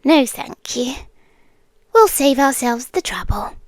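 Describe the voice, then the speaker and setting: A British woman playing a possessive monster queen in an intimate ASMR roleplay, speaking in a hushed, deliberate, regal way and often whispering. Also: sits high, expressive, no room sound, exaggerated voice. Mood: coolly amused, controlling. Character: possessive monster queen, obsessive captor, softly menacing.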